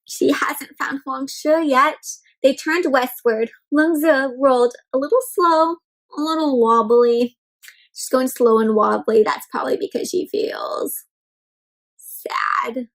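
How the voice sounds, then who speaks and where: A woman reading a picture book aloud to young children, expressive and full of exclamations and questions to her listeners. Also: sits high, rough recording. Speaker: children's read-aloud storyteller.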